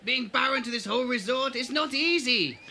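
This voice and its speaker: An actor playing a flashy, evasive bar owner at a beach resort in a fan audio drama, expressive in delivery. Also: male voice, extremely high pitch, normal speed, slight background noise, full character voice. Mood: evasive, sheepish.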